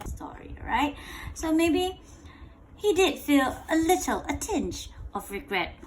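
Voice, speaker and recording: A woman reading a picture book aloud to a child in a playful, expressive way, drawing out words and asking questions. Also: sits high, slow pace, a little hiss or hum. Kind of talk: animated bedtime read-aloud with questions to a child.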